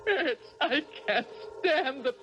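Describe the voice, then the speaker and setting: An actress playing a frightened young woman haltingly narrating a nightmare vision in a 1970s radio drama. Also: high voice, animated, a bit bright, heavily acted. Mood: anguished. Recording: muffled old radio broadcast.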